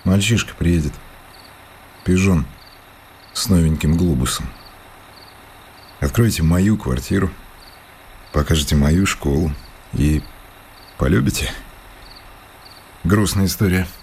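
A restless village schoolteacher in a radio drama, speaking very low. He is wry and brooding, with an ironic edge, over the faint noise of crickets. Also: expressive, takes it slowly, pauses often, darkish tone, full character voice. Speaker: actor. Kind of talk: radio play acting.

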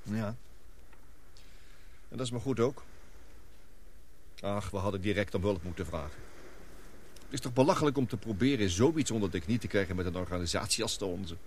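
An actor playing a gloomy, guilt-ridden scientist in a radio play, speaking gravely and deliberately. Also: male voice, fairly low pitch, expressive, a little hiss or hum, natural voice. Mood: bitter regret. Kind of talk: radio drama acting.

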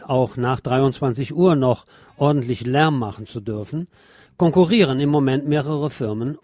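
A man's voice: mid-range voice, darkish tone. A man reading scripted news as a radio presenter. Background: music behind the voice.

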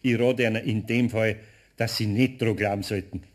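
A man's voice: fairly low pitch, steady tone, moderate pace, close to an everyday voice. An actor playing a grumbly but good-natured older master craftsman in a radio play, muttering dryly and patiently with a Bavarian accent. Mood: dry, thoughtful.

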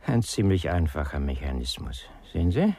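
A laconic working man in a radio crime drama. His deep, husky voice moves at a slow, unhurried pace. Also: sing-song, mellow, dry recording, clean studio sound, exaggerated voice. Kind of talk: 1990s German radio crime drama acting.

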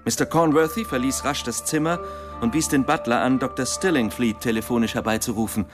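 An actor playing a factual police inspector in a radio play, reporting in a matter-of-fact, official manner. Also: male voice, mid-range voice, fairly quick, over music, close to an everyday voice. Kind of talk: German radio play acting.

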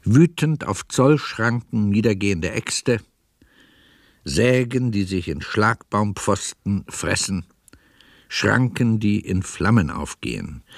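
A male narrator reads scripted radio drama narration in a measured, literary manner. His voice is fairly low and gravelly, recorded dry and close. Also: dry recording. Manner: literary, descriptive, measured delivery.

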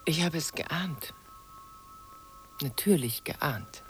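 Yearning, expressive woman with music behind. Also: deep voice, extremely slow, full character voice. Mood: awed. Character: yearning, flirtatious female portrait sitter, awed by her painter. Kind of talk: German radio play acting.

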